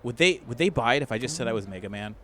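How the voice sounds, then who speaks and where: A man's voice: medium pitch, lively intonation, racing, fairly bright tone, slight background noise. A man playing a tabletop role-playing game, bantering casually in a geeky way. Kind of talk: casual improvised game chat.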